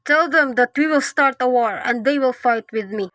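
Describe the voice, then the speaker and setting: A woman's voice: high voice, normal speed, a bit bright, dry recording. A woman playing a warlike male chieftain in an amateur radio drama, giving stiff commands. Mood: commanding. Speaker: student actor in a radio drama.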